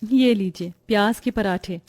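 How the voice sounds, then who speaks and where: A woman's voice: mid-range voice, a little slow, darkish tone, no echo, natural voice. A woman voicing an overworked young new bride who grumbles plaintively in a cartoon story. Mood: dutiful. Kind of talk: animated moral story dialogue.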